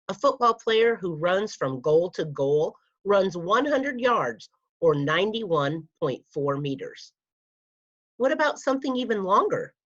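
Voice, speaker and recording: A lower-pitched woman reads a children's fact book aloud at an unhurried pace. She phrases things deliberately for young listeners, like a school librarian. The recording is a little dull and lo-fi. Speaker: school media specialist reading aloud.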